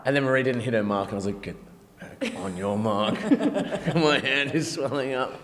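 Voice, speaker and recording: A man's voice: medium pitch, very animated, normal speed, creaky, a bit bright. Australian man joking in an echoey room. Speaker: actor being interviewed. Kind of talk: casual joking interview chat.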